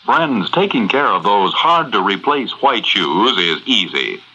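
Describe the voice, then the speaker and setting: A man's voice: fairly low pitch, big pitch swings, unhurried, bright, forceful tone. An American man reading as a commercial and network announcer in 1940s radio advertising style. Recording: muffled old radio broadcast, faint hiss.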